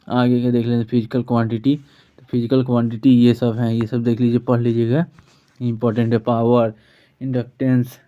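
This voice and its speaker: A man's voice: mid-range voice, clear, resonant tone. A man with an Indian accent giving an informal exam-prep physics lesson as a teacher, working through questions. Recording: dull, lo-fi sound.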